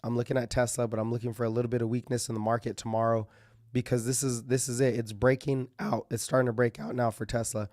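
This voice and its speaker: A man with a medium-pitched voice that is going slightly hoarse, talking through the markets in a loose, thinking-aloud way. He restarts sentences and clears his throat now and then. He is recorded close in a dry room with no echo. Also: level tone, quick pace, darkish tone. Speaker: market commentary host. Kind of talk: casual live market commentary.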